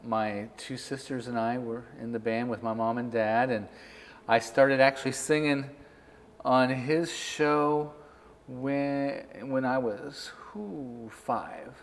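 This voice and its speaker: A man's voice: medium pitch, fairly even intonation, slow pace, slight background noise. A middle-aged man from Missouri, a musician and interview guest, casually telling his personal history. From Missouri, United States. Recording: echoey, reverberant room.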